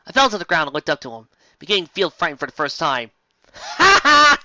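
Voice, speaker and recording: High-voiced man, theatrical and sarcastic. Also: very animated, fast talker, pushed and bright, dry recording, dull audio. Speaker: commentator reading and mocking fan fiction. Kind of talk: dramatic reading with sarcastic running commentary.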